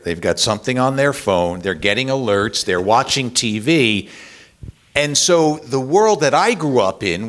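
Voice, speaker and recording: A man's voice: on the low side, a bit bright. A man in his sixties, a hockey play-by-play announcer, talking conversationally on a panel and illustrating points with acted-out dialogue.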